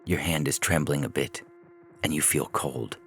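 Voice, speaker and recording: A man reading a scripted short story as narrator, in a measured, deliberate way. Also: extremely low pitch, fairly even intonation, a bit bright, slight background noise. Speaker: narrator and podcast host.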